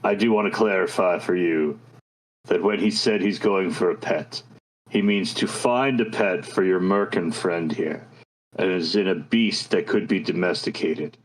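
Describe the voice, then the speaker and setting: A man voicing a gentle, earnest adventurer in a tabletop game, with formal and very precise wording. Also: deep voice, unhurried, voice pushed down, poor audio. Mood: earnest, tactful.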